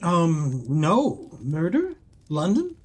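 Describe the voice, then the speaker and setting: A man's voice: a little high, huge pitch swings, very slow, darkish tone, husky, exaggerated voice. An actor playing a courteous, charming man on the run, guarded and a little hesitant, in an audio play. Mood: nervous.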